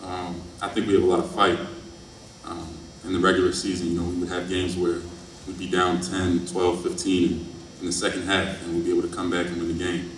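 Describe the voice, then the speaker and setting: A man in his early twenties, a college athlete, answering press questions in a thoughtful, hesitant, low-key way. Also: monotone, a little fast. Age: early 20s. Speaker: college basketball player. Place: press conference room, indoors. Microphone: table microphone on stand. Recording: echoey room, distant mic, faint noise.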